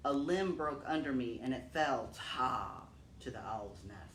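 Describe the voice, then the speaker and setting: A man voicing a nervous, apologetic storybook monkey in a hushed, pleading, explanatory manner. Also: mid-range voice, animated, unhurried, slight background noise. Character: nervous, apologetic male monkey blaming others. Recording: roomy, echoing, distant microphone.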